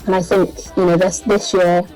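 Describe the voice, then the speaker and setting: Welsh female singer-songwriter, interviewee. Lower register, informal, feels her way through answers. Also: level tone, normal speed, mellow, faint music behind, poor audio. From Wales.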